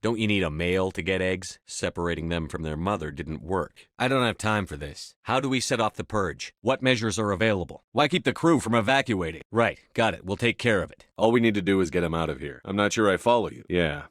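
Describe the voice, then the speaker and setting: An actor playing a tough, decisive space-marine commander in a video game, speaking in a clipped, authoritative military manner. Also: male voice, low voice, expressive, brisk, few pauses, fairly bright tone, no echo, studio quality. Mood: controlled, businesslike. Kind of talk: video game dialogue lines.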